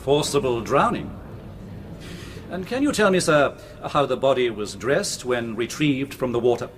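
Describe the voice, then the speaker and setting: A man's voice: mid-range voice, animated, normal speed, a little hiss or hum, lightly acted. An actor playing a pompous, insistent male prosecuting barrister in a period courtroom radio drama. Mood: formal.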